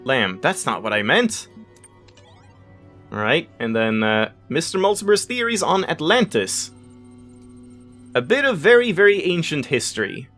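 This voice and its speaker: A man's voice: fairly high pitch, big pitch swings, unhurried, pauses often, fairly bright tone. Man reading game text over music. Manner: stumbles over unfamiliar words, self-correcting. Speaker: let's play host. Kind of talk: reading game text aloud with casual asides. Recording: music behind the voice.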